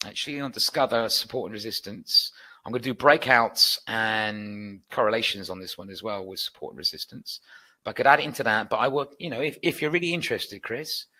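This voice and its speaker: Upbeat British male webinar host, bright, forceful delivery, chatty Q&A. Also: mid-range voice, husky, rough recording. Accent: British English. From United Kingdom. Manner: chatty, upbeat, reads out and answers viewer requests. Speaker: trading educator hosting a webinar. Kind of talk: live webinar Q&A.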